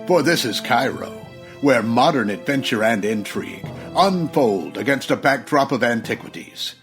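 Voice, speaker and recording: A man's voice: medium pitch, expressive, normal speed, a bit bright. A man giving a dramatic, formal scripted introduction in the style of an old-time radio announcer. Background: brassy music.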